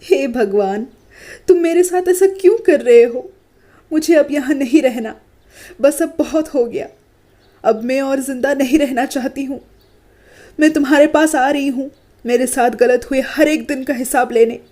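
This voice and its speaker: A woman's voice: high voice, unhurried. A woman voicing a meek, pleading young bride. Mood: despairing. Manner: pleading, soft and submissive.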